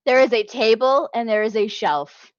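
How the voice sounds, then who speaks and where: A woman's voice: on the high side, normal speed, no room sound, lo-fi sound. A woman running a tabletop game as game master, narrating with lots of laughter.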